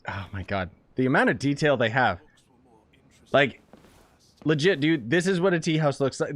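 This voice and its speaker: A young man doing casual live game commentary in an excitable, playful, slangy way. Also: medium pitch, no echo. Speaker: game streamer. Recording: game music behind the voice. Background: game music.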